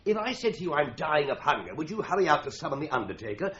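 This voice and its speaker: Radio drama: flippant English office solicitor, very animated, wordy and droll, on slightly muffled old broadcast audio. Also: male voice, fairly high pitch, quick pace, slight background noise. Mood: teasing. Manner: droll, mock-pompous, wordy. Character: breezy, flippant, theatrical solicitor in a stuffy London office. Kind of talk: 1980s radio drama acting.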